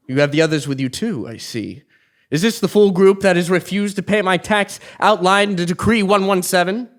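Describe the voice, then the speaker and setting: A man plays a haughty, scheming king in an absurd stage comedy. The voice sits high for a man and swoops theatrically, full of pomp. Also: fairly bright tone. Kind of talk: comic stage play acting before a live audience.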